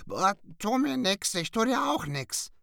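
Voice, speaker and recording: A man voicing a scruffy, cheeky male raven with a rasping, croaky voice and chummy, pleading slang. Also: on the high side, sing-song, moderate pace, fairly bright tone, no room sound, clean studio sound, pitched above the performer's own voice, full character voice. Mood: squawking scared.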